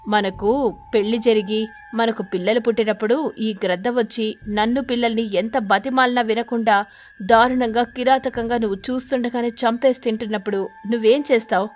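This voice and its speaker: Woman as a threatening, high-voiced vengeful ghost sparrow. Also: steady tone, fairly quick, soft background music, close to an everyday voice. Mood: menacing. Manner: threatening, accusing, interrogating. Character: vengeful, menacing female ghost sparrow hunting an eagle. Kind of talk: animated children's story character voice.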